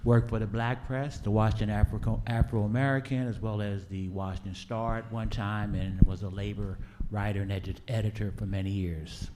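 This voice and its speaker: A man's voice: fairly low pitch, little pitch movement, normal speed, few pauses, noisy background. A man who was a labor writer and editor, introducing himself in a plainspoken, proud way.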